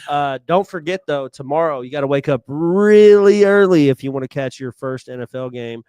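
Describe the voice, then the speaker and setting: Man with a smooth, crisp voice. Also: fairly high pitch, expressive, normal speed, darkish tone, no room sound. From England. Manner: rambling, loose, trails off mid-sentence. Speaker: podcast co-host. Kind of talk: casual chat.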